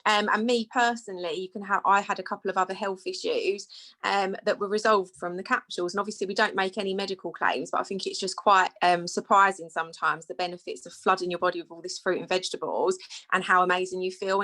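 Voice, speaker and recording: A woman's voice: medium pitch, pushed and bright, rough recording. A woman hosting an online business presentation, upbeat and friendly, with "um" fillers.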